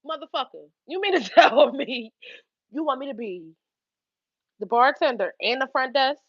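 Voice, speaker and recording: A young woman with a Philadelphia accent telling a story on her livestream, animated and swearing freely between laughs. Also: sits high, moderate pace, dry recording, dull audio. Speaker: YouTube livestream host. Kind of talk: casual livestream storytelling to chat.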